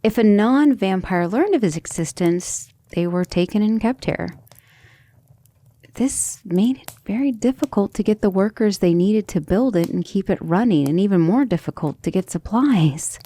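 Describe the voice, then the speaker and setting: A woman playing a gentle, earnest, devoted female vampire in an intimate ASMR roleplay, speaking tenderly and a little hesitantly. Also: medium pitch, animated, moderate pace, dry recording, close to an everyday voice. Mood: earnest explaining. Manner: soft, hesitant, tender, often breathy near-whisper.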